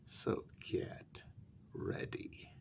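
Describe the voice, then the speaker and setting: A man playing a jaded, irritable vampire in a soft-spoken ASMR role-play, with weary sarcasm and a gravelly, half-whispered voice. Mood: taunting, menacing. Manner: slow, deliberate, weary sarcasm. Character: jaded, irritable male vampire hiding a hideous face.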